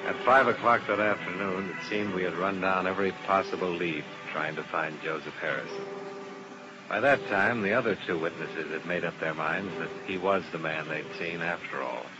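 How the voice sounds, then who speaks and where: A man's voice: low-pitched, moderate pace, over music, lightly acted. An actor playing a hard-boiled male insurance investigator who narrates the case in a clipped, matter-of-fact way, in a 1950s radio drama. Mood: terse. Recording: muffled old radio recording with hiss.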